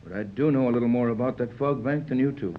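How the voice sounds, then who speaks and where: Guy as seasoned captain type, measured, mid-pitched, old 30s radio drama, dull muffled sound. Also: slight background noise, close to an everyday voice. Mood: reluctant. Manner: measured, plain-spoken, reluctant to alarm. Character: steady, seasoned male yacht captain adventurer. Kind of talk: 1930s radio serial acting.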